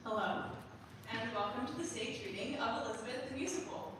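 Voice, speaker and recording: A woman's voice: fairly high pitch, brisk. Young woman presenting in a very echoey hall. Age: young adult. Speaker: student composer and presenter. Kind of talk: prepared spoken introduction to a staged reading. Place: large performance hall. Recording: very echoey hall, distant mic, faint noise.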